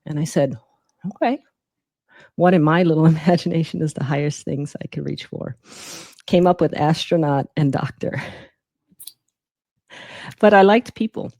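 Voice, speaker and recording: A middle-aged woman from Guyana who grew up in New York, telling her personal story reflectively as an interview guest, with thoughtful, deliberate phrasing. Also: low voice, a little mellow, no room sound, clean studio sound. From born in Guyana, raised in the Bronx from age seven. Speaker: interview guest, emergency physician.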